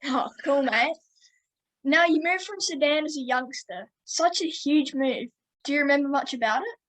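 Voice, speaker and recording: Woman podcast host, high voice, upbeat and friendly, casual questions. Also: normal speed, a bit bright, low-quality recording. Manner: upbeat, friendly, calls guest mate. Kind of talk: interview questions.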